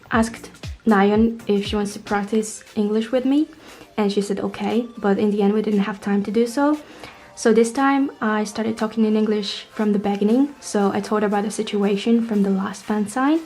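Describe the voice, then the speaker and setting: Young woman from poland vlogging, english, chatty and informal, relaxed mellow voice, bit of music behind. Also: mid-range voice. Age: young adult. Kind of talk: casual storytelling to camera.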